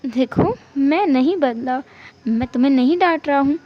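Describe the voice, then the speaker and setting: A woman voicing a patient, exasperated and loving husband and father in a told story, softly placating. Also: high voice, normal speed, lo-fi sound, lightly acted. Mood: weary, placating. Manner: soft, placating reassurance. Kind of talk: amateur storytelling.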